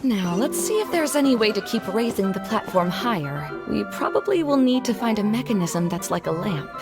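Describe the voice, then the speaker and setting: A woman voicing a calm, steady young female adventurer in a video game. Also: mid-range voice, moderate pace, natural voice. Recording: game cutscene with clear background music.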